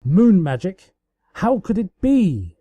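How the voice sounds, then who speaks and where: Here is a grand, playful pony princess from a storybook, acted out by a man. The voice is pitched up and swings widely, with a soft, dark tone. The delivery is mock-heroic and full of exclamation. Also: very animated, takes it slowly, no echo, muffled recording, exaggerated voice. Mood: mock-heroic drama.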